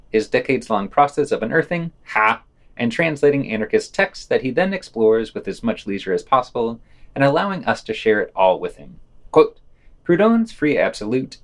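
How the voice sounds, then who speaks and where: A man hosting a podcast, reading a scripted news digest with quotes and his own commentary. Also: mid-range voice.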